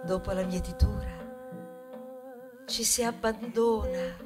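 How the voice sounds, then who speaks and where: Slow, lyrical actress as a proud, tender corn cob. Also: female voice, mid-range voice, fairly even intonation, natural voice. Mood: dreamy. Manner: lyrical, savouring words with dramatic pauses. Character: proud tender female corn cob awaiting the harvest festival. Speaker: stage actress. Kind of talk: theatrical poetic monologue, stage storytelling. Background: music.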